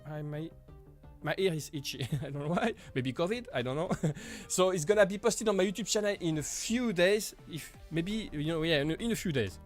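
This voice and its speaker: A man with a high voice for a man hosts a relaxed live stream Q&A, answering viewers one by one. He talks in a halting, chatty way, scattering small laughs and filler phrases as he goes. His voice sits deeper than his usual while he gets over an illness, with faint music in the background. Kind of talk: casual live Q&A chat.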